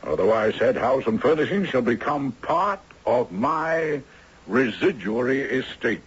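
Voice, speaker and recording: A man playing a shrewd, dogged elderly retired judge in a 1970s radio drama, speaking with husky, theatrical courtroom formality. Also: mid-range voice, lively intonation, measured pace. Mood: triumphant. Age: older. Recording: dull 1970s radio recording.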